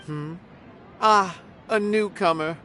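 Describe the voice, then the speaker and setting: A man playing an aloof, condescending, curious intellectual in a video game, haughty and deliberate. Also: high voice, pushed and bright, a little hiss or hum, exaggerated voice. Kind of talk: video game dialogue acting.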